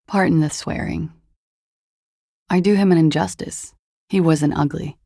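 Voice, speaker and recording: A woman's voice: low-pitched, fairly even intonation, a little slow, vocal fry, mellow, no echo, studio quality, full character voice. An actress voicing a world-weary, guarded, seductive woman in a video game, with languid, hesitant, wry delivery. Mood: melancholic. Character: world-weary, guarded, seductive disco-dancer woman recounting a dead lover. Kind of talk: video game dialogue acting.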